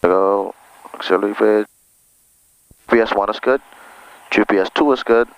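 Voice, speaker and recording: Low-voiced man coaching over noisy cockpit intercom. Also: steady tone, moderate pace. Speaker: pilot giving flight instruction. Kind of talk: casual cockpit instruction and radio calls. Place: small plane cockpit in flight operations. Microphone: aviation headset.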